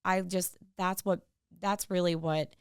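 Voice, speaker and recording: A middle-aged woman, a guest on a podcast, talking earnestly and warmly about her work and family. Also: fairly low pitch, steady tone, a little slow, clean tone, no room sound, studio quality. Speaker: podcast guest, tax professional. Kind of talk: casual interview chat.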